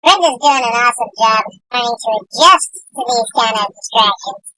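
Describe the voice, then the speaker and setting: A woman's voice: a little high, animated, a little fast, sharp tone, dry recording, low-quality recording. A woman narrating a casual voice-over for a travel video, explaining with frequent hesitations. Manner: casual, hesitant, many uh fillers.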